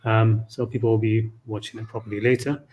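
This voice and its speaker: A man's voice: fairly low pitch, flat delivery, a little fast, a little mellow. A man hosting a casual video chat, friendly and rambling, filling his sentences with ums. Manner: friendly, filler-heavy, rambling. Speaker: host. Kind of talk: casual conversation.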